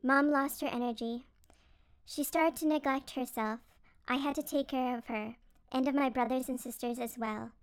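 A woman's voice: high-pitched, fairly even intonation, normal speed, clean tone, no echo, voice pushed up. A woman voicing a stern, stressed female beaver who leads a team, strict and weary in a storybook tale. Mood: weary, frustrated. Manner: strict, self-critical, weary. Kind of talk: acted storybook character dialogue.

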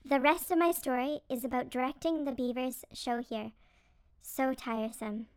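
A high, dry-sounding voice for a storybook beaver leader played by a woman. She is strict and self-critical, a female boss worn down by worry. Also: steady tone, moderate pace, smooth, no echo. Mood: weary, frustrated. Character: stern, stressed female beaver team leader. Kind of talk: acted storybook character dialogue.

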